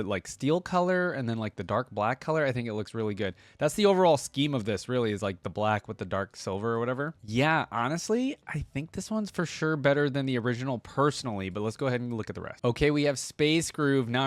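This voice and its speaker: A man's voice: mid-range voice, no echo. Casual, enthusiastic man, dry close sound. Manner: enthusiastic, informal, slangy. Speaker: reaction video host. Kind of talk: casual reaction commentary.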